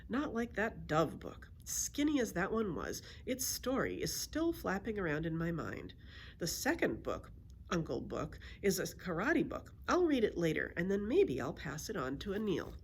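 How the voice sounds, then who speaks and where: A woman reading a children's story aloud in an expressive storytelling style. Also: medium pitch, moderate pace, slight background noise. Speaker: library story reader.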